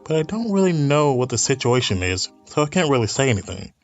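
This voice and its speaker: A man's voice: mid-range voice, sing-song, quick pace. A man narrates a visual novel in a casual let's play style. He stumbles a little as he reads and drops in amused asides, with music playing behind him. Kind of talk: casual read-aloud commentary of a visual novel.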